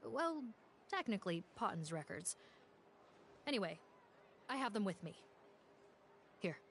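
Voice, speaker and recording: A woman playing a dutiful, respectful police officer in a video game, with measured, explanatory delivery. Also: medium pitch, sing-song, a bit bright, a little hiss or hum. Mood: businesslike.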